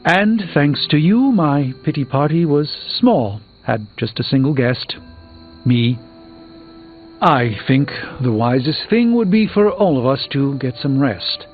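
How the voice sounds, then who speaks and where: A formal, earnest sorcerer over music. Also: male voice, mid-range voice, expressive, lo-fi sound. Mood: conceding. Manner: formal, articulate, measured. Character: earnest, formal superhero sorcerer, burdened by regret. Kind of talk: video game voice acting. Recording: band-limited game audio with background music.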